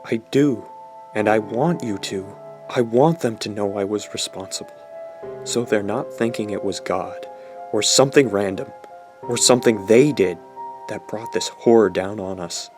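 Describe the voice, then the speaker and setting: A man playing a sardonic, guilt-ridden teenage boy in a radio drama, brooding and halting as he confesses. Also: fairly low pitch, a little mellow, soft background music, lightly acted. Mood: resigned, remorseful. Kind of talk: radio drama acting with first-person narration.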